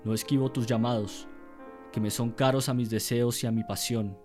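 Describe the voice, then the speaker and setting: A man plays a solemn general confessing his love in a radio drama letter. His voice is mellow and even, with measured, intimate delivery. Music plays behind him. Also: mid-range voice, natural voice. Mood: tender, solemn.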